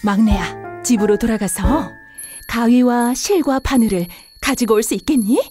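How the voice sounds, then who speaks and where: A woman voicing a tender, protective mother goat in a children's fairy tale, speaking in a gentle, dramatic, motherly way. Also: a little high, normal speed, soft background music, close to an everyday voice. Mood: calm, gentle. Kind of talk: children's storybook voice acting.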